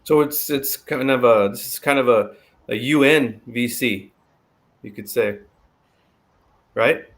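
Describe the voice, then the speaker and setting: Agreeable man chiming in on a call. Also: medium pitch. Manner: agreeable, chiming in with quick affirmations. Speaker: panel guest. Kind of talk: casual panel chat.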